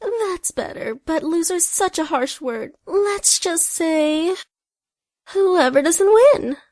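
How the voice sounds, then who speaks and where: A woman's voice: very high voice, animated, takes it slowly, darkish tone, no room sound, clean studio sound, full character voice. A woman playing a wry, playful female storyteller in a comic radio play.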